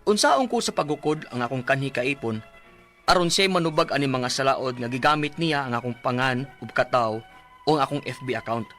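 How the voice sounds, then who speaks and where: Actor as troubled young Cebuano husband, lively voice. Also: male voice, medium pitch, a little fast, soft background music, close to an everyday voice. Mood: troubled, earnest. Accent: Cebuano Filipino. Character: troubled young Filipino husband and father, anxious and humble. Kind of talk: radio drama acting and letter narration.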